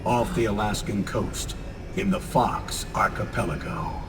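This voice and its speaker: A grave older military commander in a game. His low voice moves slowly and solemnly, set slightly away from the mic amid rumbling vehicle noise. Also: animated, creaky, in a large hall, far from the mic. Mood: grave urgency. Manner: measured, solemn briefing. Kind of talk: dramatic video game cutscene acting.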